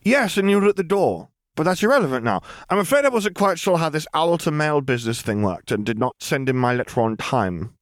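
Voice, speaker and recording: A cheeky young girl from a fantasy storybook, acted out by a man. The voice sits high above a normal male range, in a close, dry recording. It is breezy and flippant, with a mock-polite manner. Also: very animated, fairly quick, few pauses, dry recording.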